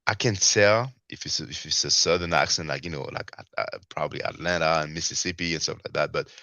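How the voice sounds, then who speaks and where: A man with a non-native English accent hosting a casual chat, asking questions in a halting way with repeated words, on a muffled mic. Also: a bass voice, pushed and bright, no room sound. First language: not English. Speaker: host, interviewer.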